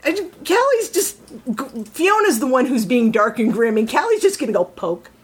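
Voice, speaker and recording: Woman, higher pitch, casual round-table talk, animated, voice actor, acts out quotes. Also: moderate pace, breathy edge. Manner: animated, acts out her character's thoughts in quotes.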